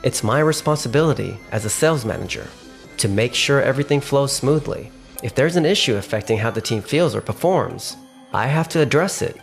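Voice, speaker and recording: An actor voicing a composed man in charge of a sales team. He sounds firm yet polite, with measured, businesslike delivery over light background music, as in a manga dub. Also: medium pitch, animated, creaky. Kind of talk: manga dub acting and narration.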